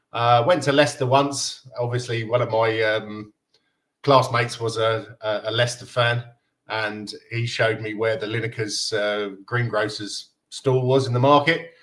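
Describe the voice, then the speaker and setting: A man's voice: medium pitch, a bit bright. A man from Denmark hosting a casual football fan livestream, chatting in a rambling, matey way. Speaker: livestream host.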